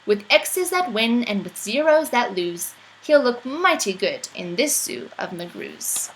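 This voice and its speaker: A woman's voice: a little high, sing-song, takes it slowly, a little hiss or hum, rough recording. A woman reading a rhyming children's picture book aloud in a playful, theatrical storytelling manner.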